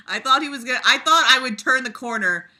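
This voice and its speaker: Bright-voiced, exasperated reader ranting about a book. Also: high voice, brisk. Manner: exasperated, sarcastic, profane; animated, breaks into mocking imitations. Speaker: host reading a book aloud and reacting to it. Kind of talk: casual reading aloud with ranting commentary.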